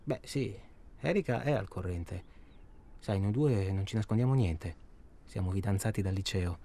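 A low-voiced, nervously chatty husband. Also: male voice, moderate pace, warm tone. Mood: confiding, wry. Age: middle-aged. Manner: hesitant, rambling, repeats himself, seeks agreement. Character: nervous, chatty middle-aged husband, confessional and evasive. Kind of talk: naturalistic radio drama acting.

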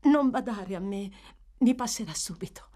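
A woman's voice: mid-range voice, normal speed, dark tone, voice pushed up, natural voice. A woman voicing a frail, exhausted, long-suffering young woman in an audiobook, speaking with pleading tenderness. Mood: faint, dismissive. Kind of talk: audiobook character voice.

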